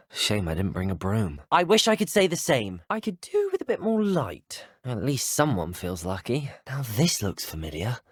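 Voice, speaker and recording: An actor playing a polite, plucky teenage student wizard in a video game, with a British accent and a courteous, dryly wry delivery. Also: male voice, lowish voice, slightly rough, dry recording, studio quality. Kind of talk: video game voice acting, short dialogue lines.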